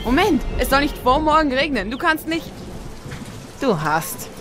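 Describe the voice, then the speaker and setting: A woman's voice: high-pitched, sing-song, unhurried. A woman playing a brash, agitated young female pony in an audio drama, exclaiming urgently. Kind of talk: fan audio drama acting. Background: music.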